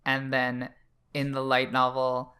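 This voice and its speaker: A man's voice: mid-range voice, monotone, slow pace, bright, forceful tone, clean tone. A man co-hosting a casual podcast, speaking in a playful, cheeky way. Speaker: podcast co-host.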